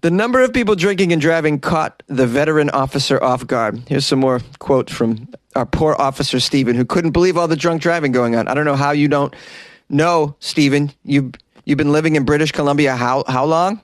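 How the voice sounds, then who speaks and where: A man's voice: fairly high pitch, fairly quick, dry recording. A man who grew up in Boston, hosting as a comedian and joking through odd news stories with wry asides and laughs. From Boston, United States. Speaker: comedian and host.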